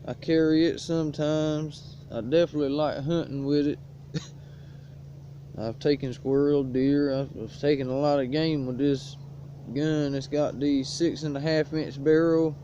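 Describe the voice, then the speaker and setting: A laid-back man talks easily about his gear in a folksy drawl. His voice is mellow and dark but sits fairly high. The sound is dull lo-fi phone audio from outdoors in the woods, with a faint hiss underneath. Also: level tone, a little slow. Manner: laid-back rural drawl, folksy, coughs now and then. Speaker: outdoors vlogger. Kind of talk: casual show-and-tell vlog. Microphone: cell phone propped on a stick.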